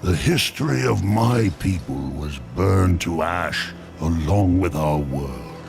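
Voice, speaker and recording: An ancient, sly merchant from another world. His voice is low and creaky, and he takes his time over each line with unctuous, theatrical amusement. Music plays behind him. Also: lively intonation, slow, full character voice. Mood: brooding. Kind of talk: video game character acting.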